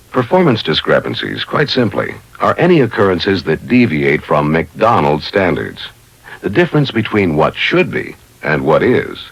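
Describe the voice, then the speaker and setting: A man's voice: low-pitched, gravelly, husky, no room sound, lo-fi sound. A man reading scripted instructional narration in a formal, methodical way, as the narrator of a training video.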